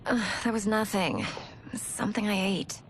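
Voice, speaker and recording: An actress playing a young princess and heir in a video game, impulsive, defensive and pleading. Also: female voice, mid-range voice, measured pace, slight background noise, natural voice, low-quality recording. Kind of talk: dramatic video game cutscene acting.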